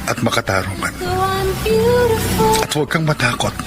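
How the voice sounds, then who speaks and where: A man's voice: mid-range voice, lively intonation, normal speed, close to an everyday voice. An actor with a Filipino accent playing a devoted, tender man in love in a romantic radio drama. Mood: pleading, tender. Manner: earnest, tender, resolute. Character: devoted, pleading doctor and father in love. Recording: loud music under the voice. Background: loud music.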